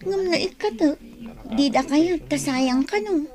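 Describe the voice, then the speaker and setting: A woman's voice: high-pitched, animated, a little slow, a little mellow. A woman playing a polite, earnest younger market helper in an Ilocano radio drama. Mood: aggrieved.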